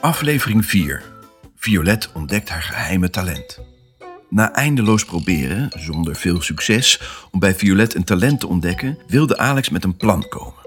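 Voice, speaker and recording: Low-pitched male storyteller over music. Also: sing-song, normal speed, fairly bright tone. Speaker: narrator. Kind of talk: scripted children's story narration.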